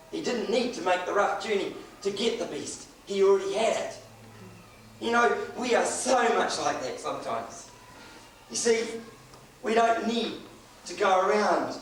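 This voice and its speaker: A man's voice: high voice, recorded from a distance, slight background noise. A middle-aged man, preaching a sermon and telling a personal story with chuckles. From New Zealand. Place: church hall. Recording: echoey hall recorded from afar.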